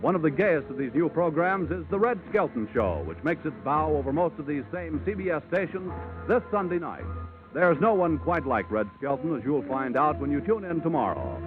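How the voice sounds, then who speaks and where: Casting: male old-time network announcer, animated and polished, fairly high voice, music behind, phone-like vintage broadcast. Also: moderate pace. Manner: polished, formal, promotional. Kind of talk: 1940s radio announcing.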